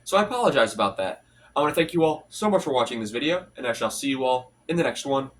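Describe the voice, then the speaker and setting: A man's voice: medium pitch, lively intonation, quick pace, fairly bright tone, distant mic. A young man with a congested, stuffy voice hosting a casual solo hockey recap, rattling off stats with frequent restarts and fillers. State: congested from allergies. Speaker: hockey commentary channel host.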